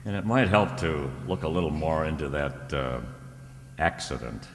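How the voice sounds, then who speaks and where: A man's voice: extremely low pitch, expressive, moderate pace. A man playing a blunt, loyal, tough investigator with informal, clipped speech in an old-time radio drama. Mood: firm. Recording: echoey hall, background noise.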